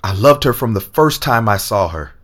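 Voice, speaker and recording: A man's voice: fairly low pitch, expressive, normal speed, fairly bright tone. An actor playing a proud, lovestruck male senator in an audio drama, talking in a casual, easygoing way. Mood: earnest.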